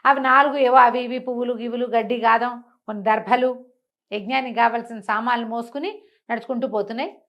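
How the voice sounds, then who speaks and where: A woman's voice: fairly high pitch, muffled recording. A woman tells a fantasy folk tale in a conversational way, using rhetorical questions and repetition. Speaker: storyteller on a web show.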